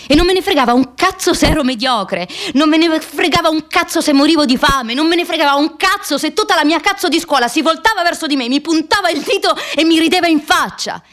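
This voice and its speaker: A woman's voice: fairly high pitch, natural voice. An actress playing an earnest, reflective young woman activist in an intimate, confessional radio theatre monologue. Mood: angry, defiant.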